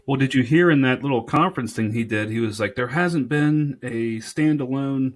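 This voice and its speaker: A man co-hosting a podcast in casual chat, halting and restarting as he works through his thoughts. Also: medium pitch. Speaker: podcast co-host.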